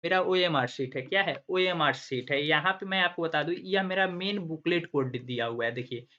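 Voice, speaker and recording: A man's voice: on the high side, moderate pace, pushed and bright. A man teaching an online class as the channel host, walking through a screen tutorial and asking and answering his own questions as he goes. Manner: asks himself questions and repeats the answers like a teacher. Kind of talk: screen-recorded tutorial explaining step by step. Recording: dull lo-fi recording.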